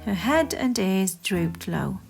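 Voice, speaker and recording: A woman narrates a children's story with a lively, swinging intonation and an unhurried pace. Her read-aloud is expressive and deliberate, with music playing underneath. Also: medium pitch, creaky.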